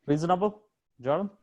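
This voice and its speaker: A man's voice: high-pitched, darkish tone. A male university lecturer chatting casually with his students on a video call, easygoing, chuckling and repeating "yeah". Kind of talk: casual chat with students before an online lecture. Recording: muffled video call audio.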